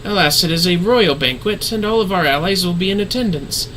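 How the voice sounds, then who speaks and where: A man's voice: sits high, expressive, brisk, sharp tone, a little hiss or hum, low-quality recording. A man voicing a refined, earnest woman leader in a fantasy game, speaking in formal, solemn, archaic phrasing. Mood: solemn, stirring.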